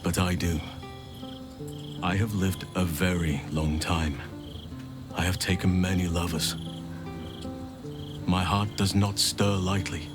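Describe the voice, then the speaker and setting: Ancient gentle druid, video game, low voice, earnest, formal, measured, music behind. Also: male voice, steady tone. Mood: tender. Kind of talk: sincere video game acting.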